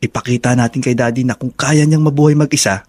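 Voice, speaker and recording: Lalaking gumaganap na determinadong kuya, tuyo ang tunog. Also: male voice, medium pitch, steady tone, fast talker, darkish tone, no room sound, clean studio sound, natural voice. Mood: resolute, reassuring. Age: young adult. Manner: earnest, reassuring. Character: determined, protective young adult older brother. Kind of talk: Filipino radio drama acting.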